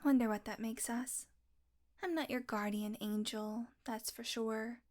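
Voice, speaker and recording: A woman playing a sardonic, teasing, predatory vampire with a soft spot, in an intimate, sweary audio roleplay monologue that often drops to a murmur. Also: fairly high pitch, steady tone, measured pace, lightly acted. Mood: wry, musing.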